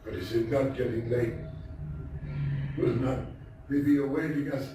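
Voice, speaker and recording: A man playing a drunken, doomed nobleman in a Gothic tale, halting and pleading through weak laughter. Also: medium pitch, steady tone, close to an everyday voice. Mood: pleading, uneasy. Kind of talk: theatrical Gothic storytelling. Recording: echoey distant stage recording, faint noise.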